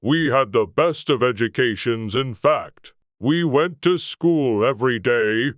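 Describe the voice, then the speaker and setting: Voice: mid-range voice, lively intonation, measured pace, no room sound, studio quality, close to an everyday voice. A storybook narrator voicing a melancholy, touchy old male turtle who tells tales in a sighing, mournful way. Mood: proud, wistful.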